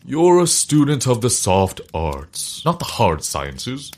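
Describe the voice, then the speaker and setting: Man playing a slow, pompous, husky-voiced scientist. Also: mid-range voice, huge pitch swings, a little mellow, full character voice. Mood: grave, pompous. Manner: pompous, declamatory. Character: Hiram, pompous self-important male scientist, eccentric and grandiose. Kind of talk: comedic audio drama acting.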